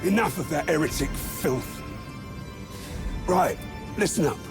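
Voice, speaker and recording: An actor playing a blunt, commanding veteran officer, giving troops a mission briefing in a game. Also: male voice, fairly high pitch, lively intonation, measured pace, over loud music. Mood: gruff, rallying. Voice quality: gravelly.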